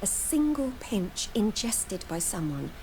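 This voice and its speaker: A woman's voice: mid-range voice, big pitch swings, slow, darkish tone, a little hiss or hum, low-quality recording. A woman playing a guarded, dutiful scientist troubled by guilt, in serious video game dialogue. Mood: grave.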